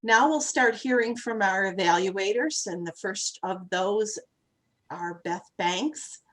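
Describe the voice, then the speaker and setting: A woman's voice: mid-range voice, animated, slow pace, a bit bright. A woman hosting an online club meeting over a video call, friendly and orderly as she introduces each speaker. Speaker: meeting host.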